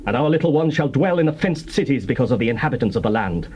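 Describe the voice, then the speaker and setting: Male actor doing determined bible tribesman, fast formal delivery, bit of old cassette noise. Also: medium pitch, animated, a little mellow, natural voice. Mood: resolute. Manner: formal, declamatory. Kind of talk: dramatized King James Bible reading. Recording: noisy old cassette tape.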